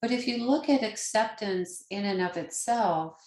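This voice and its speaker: A woman leading a guided meditation as a teacher, speaking gently in short, unhurried phrases with pauses between them. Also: mid-range voice. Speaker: yoga nidra meditation teacher. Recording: roomy, echoing sound.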